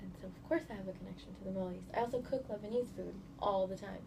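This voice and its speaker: Californian woman in her 30s, gravelly voice, loose chatty answers, noisy room behind. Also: mid-range voice, rapid-fire. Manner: relaxed, chatty, many fillers. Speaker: guest. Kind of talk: casual interview answers. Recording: noisy indoor recording.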